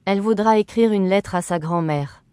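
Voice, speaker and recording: A voice reading short phrases slowly and evenly for learners, carefully enunciated and repeated. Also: medium pitch, a little mellow, clean tone, no echo. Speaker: French phrase reader.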